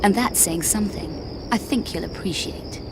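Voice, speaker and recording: A woman's voice: mid-range voice, moderate pace, noisy background, natural voice. A woman voicing a confident, sardonic female adventurer in a video game, dry, taunting and unflappable. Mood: taunting, cool. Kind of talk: video game cutscene voice acting. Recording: loud music under the voice. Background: game music.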